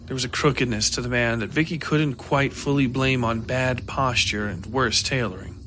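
Man narrating dark fiction over ambient noise. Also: medium pitch, normal speed. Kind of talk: scripted horror fiction narration.

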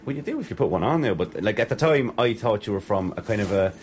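A man's voice: on the low side, animated, fast, a little hiss or hum. A man teasing a friend in a joking, casual way. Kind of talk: casual chat.

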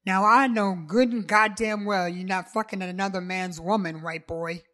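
A woman's voice: mid-range voice, steady tone, moderate pace, bright, forceful tone, natural voice. A woman reading the part of a brash, jealous ex-boyfriend who bellows and taunts. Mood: swaggering, taunting.

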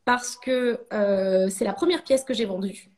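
A woman's voice: mid-range voice, measured pace, a little mellow. A French woman in her thirties, a ceramic artist, talking casually in a podcast interview, hesitant with many fillers and restarts. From France.

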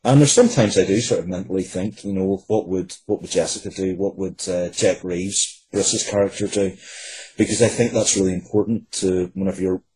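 A man's voice: sits low, a little fast, dark tone, slightly rough, low-quality recording. A man speaking casually and earnestly as an interview guest, often repeating phrases for emphasis.

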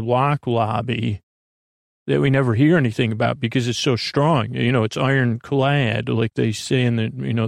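A middle-aged American man hosting a sleep podcast in a soothing, meandering monologue, halting, interrupting himself and trailing off. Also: mid-range voice, creaky, dry recording, studio quality.

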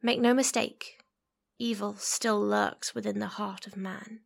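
A woman playing a solemn narrator who warns of lurking evil in an ominous, measured fantasy audio drama prologue. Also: mid-range voice, steady tone, gravelly.

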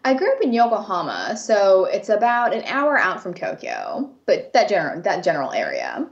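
A woman's voice: medium pitch, wildly swooping pitch, moderate pace, gravelly, lo-fi sound. A woman in her twenties with an American accent, who grew up in Japan, chatting as a guest artist in a friendly way, laughing easily and often saying um. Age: 20s. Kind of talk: casual interview chat.

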